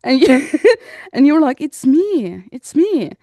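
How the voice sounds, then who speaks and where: A young adult woman, co-hosting a casual podcast, telling stories in an animated, giggly way. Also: high voice, takes it slowly, dry recording, clean studio sound. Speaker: podcast co-host. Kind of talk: casual chat, storytelling.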